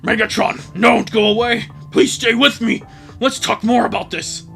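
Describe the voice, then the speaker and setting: Man as heartbroken robot hero, parody fan dub, melodramatic, begging, bright, gravelly edge. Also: very high voice, moderate pace, faint music behind, full character voice. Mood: pleading. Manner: melodramatic, pleading.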